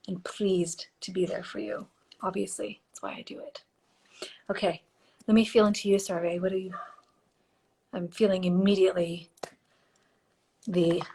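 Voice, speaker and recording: A woman hosting a live stream, chatting and giving oracle card readings, her voice a little hoarse. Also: medium pitch. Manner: warm, chatty, reads card texts softly. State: slightly hoarse, losing her voice. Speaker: podcast host and intuitive reader. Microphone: phone or computer mic for a live stream.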